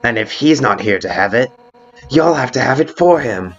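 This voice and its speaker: A man's voice: medium pitch, expressive, normal speed, dull audio. A man voicing a brash, hot-headed female monster guard captain with blunt, informal delivery in a video game fan dub. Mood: earnest. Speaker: character voice actor in a fan dub. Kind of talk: dramatic video game dialogue. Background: background music.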